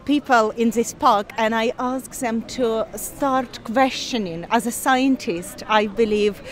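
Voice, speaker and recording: Ukrainian-accented woman, 40s, interviewed outside in a park, earnest, lots of little pauses. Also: on the high side, slight background noise. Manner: earnest, measured, with frequent pauses. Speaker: interviewee, scientist. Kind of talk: outdoor street interview. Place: park outdoors, people milling behind.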